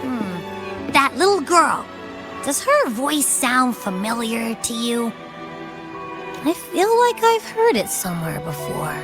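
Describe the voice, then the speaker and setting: High, animated little female companion. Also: slow, exaggerated voice. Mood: puzzled, curious. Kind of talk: video game voice acting. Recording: loud music behind.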